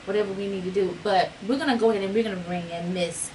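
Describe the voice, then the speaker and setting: A woman's voice: medium pitch, fast. A woman hosting a talk radio show, chatting casually and telling stories with lots of laughter. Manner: lively storyteller, laughs often. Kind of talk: casual chat. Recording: distant mic with noisy background.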